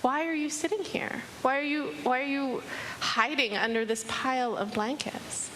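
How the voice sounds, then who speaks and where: A woman voicing a kindly, spirited old woman from a fairy tale, reassuring and unhurried as she tells the story aloud. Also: high voice, steady tone, pushed and bright. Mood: gently curious. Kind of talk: storytelling to an audience. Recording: reverberant hall, audible background noise.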